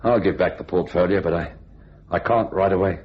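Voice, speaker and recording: Very deep, haunted radio thriller night clerk. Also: male voice, a little fast, breathy edge. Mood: wary. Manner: hesitant, stammering, haunted. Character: nervous, guilty male hotel night clerk drawn into crime. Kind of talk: radio thriller acting with brooding narration. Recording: dull radio drama recording with music.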